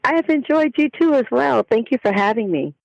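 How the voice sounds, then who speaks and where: A woman's voice: on the high side, lively intonation, brisk, vocal fry, no room sound, dull audio. A woman speaking as an author guest in a casual interview, listing things in an emphatic way. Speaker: guest author and narrative coach.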